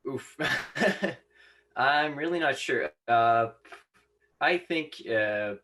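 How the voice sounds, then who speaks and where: A man's voice: mid-range voice, expressive, very slow, background noise. A seventeen-year-old young man from Quebec, a native French speaker with a slight Quebec French accent, answering interview questions hesitantly with many fillers. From Quebec, Canada. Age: teens. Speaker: guest maker.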